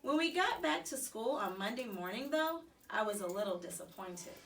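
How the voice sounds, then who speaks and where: A woman reading a children's story aloud in an expressive, animated way with dramatic emphasis. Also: a little high, moderate pace, recorded from a distance. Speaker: storybook reader.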